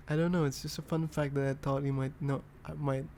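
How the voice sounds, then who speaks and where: A young man from Indonesia with an altered British accent, talking softly and rambling in a boyfriend ASMR roleplay. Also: on the high side, a little hiss or hum. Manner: soft, rambling, self-deprecating.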